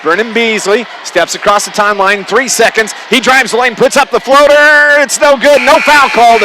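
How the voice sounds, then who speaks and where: An American man calling high school basketball live on radio in a conversational way, from a gymnasium. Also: background noise. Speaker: radio play-by-play sports announcer.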